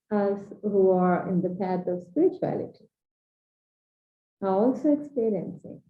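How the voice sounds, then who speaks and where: A woman from Sri Lanka with a Sri Lankan English accent, giving an earnest, measured coaching talk in short, paused phrases. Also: medium pitch. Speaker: transformational coach. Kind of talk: slow reflective training talk. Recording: dull echoey room recording.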